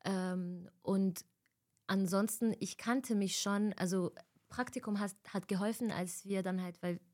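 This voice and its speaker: A woman's voice: medium pitch, steady tone, measured pace, dark tone. Young woman from Egypt, twenties, casual interview chat, fillers, laughs often. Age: 20s. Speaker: interviewee, student.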